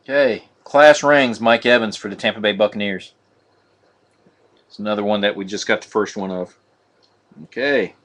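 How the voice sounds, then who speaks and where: A man hosting a live card break on a stream, talking viewers through each pull in a flat, monotone way. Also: fairly low pitch, normal speed, pauses often. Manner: monotone, says so himself; chatty, addresses viewers as guys. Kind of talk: casual live-stream commentary.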